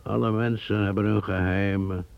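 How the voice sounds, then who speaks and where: A brooding man haunted by his traitor ancestor, voiced by an actor, with a deep, gravelly voice. He speaks slowly and solemnly, as if describing a vision. The old radio play recording sounds dull and muffled. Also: steady tone. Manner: solemn, measured, visionary storytelling. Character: brooding male guide, descendant of a traitor.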